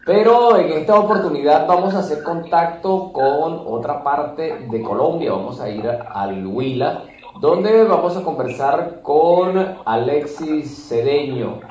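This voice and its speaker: A man's voice: fairly high pitch, expressive, takes it slowly, darkish tone. A man from Venezuela with a Venezuelan accent, reporting and interviewing as a radio correspondent. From Guárico, Venezuela. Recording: echoey, muffled, noisy remote line.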